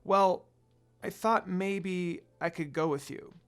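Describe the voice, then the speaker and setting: A narrator voicing a gentle young woman, a priestess who speaks hesitantly and kindly. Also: medium pitch, slow pace, clean tone, lightly acted.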